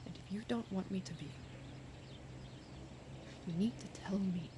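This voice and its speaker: A woman's voice: mid-range voice, a little slow, background noise, natural voice. An actress as a heartbroken, confessional young woman lover. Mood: pleading. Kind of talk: audio drama monologue and dialogue.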